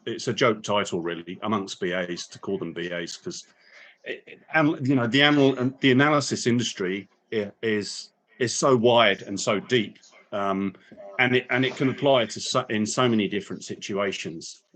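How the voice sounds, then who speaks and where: Male panel guest, low voice, thoughtful, halting delivery. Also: a bit bright. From not from New Zealand. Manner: many restarts and fillers, reflective. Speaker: guest speaker, business analyst. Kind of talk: casual panel talk. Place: New Zealand.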